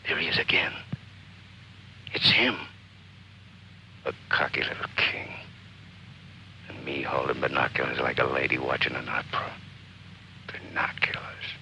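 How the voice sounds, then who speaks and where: An actor playing a bitter, obsessive soldier who mutters intensely, in an old film style. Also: male voice, rumbling low, fairly even intonation, a little slow, long pauses, sharp tone, slight background noise, heavily acted, rough recording. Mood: bitter, obsessed. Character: brooding, bitter, obsessive soldier, hungry to kill.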